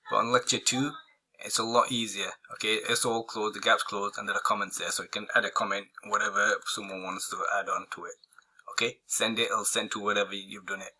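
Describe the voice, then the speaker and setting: Hoarse man talking through a screen tutorial. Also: mid-range voice, pushed and bright. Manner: rambling, halting, lots of fillers and restarts. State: losing his voice, coughing. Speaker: online marketing tutor. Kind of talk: casual screen-recorded tutorial.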